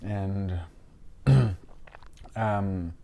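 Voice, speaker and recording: Slightly hoarse man, fairly low voice. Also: level tone, warm tone. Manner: hesitant, halting, often repeating words. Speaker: fragrance reviewer and host. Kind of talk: casual unscripted review to camera.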